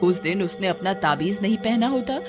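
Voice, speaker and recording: A woman's voice: medium pitch, lively intonation, moderate pace. A woman narrating a scripted cartoon horror story as the storyteller. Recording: music behind the voice.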